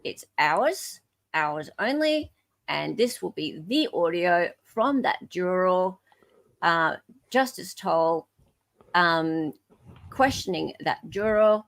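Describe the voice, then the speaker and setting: A woman's voice: fairly low pitch, lively intonation, slow pace, a bit bright. A middle-aged Australian woman hosting a livestream, chatting casually in a playful, informal way. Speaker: livestream host.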